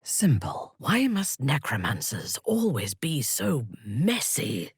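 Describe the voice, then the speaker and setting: Husky, slow, disdainful fantasy adventurer. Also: female voice, extremely low pitch, huge pitch swings, no echo, studio quality, exaggerated voice. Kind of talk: video game character dialogue.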